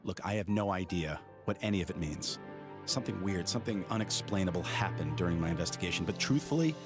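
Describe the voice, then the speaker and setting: A low-voiced, embittered male investigator from a video game, blunt and weary with a hot temper. His pitch moves in lively swings, and music plays behind him. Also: fast talker. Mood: weary, guarded. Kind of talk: dramatic video game acting.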